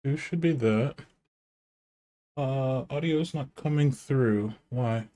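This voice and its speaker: A man's voice: mid-range voice, slow pace, warm tone. A man with a nasal voice streaming live, chatting casually and rambling to himself and his chat while he sets up.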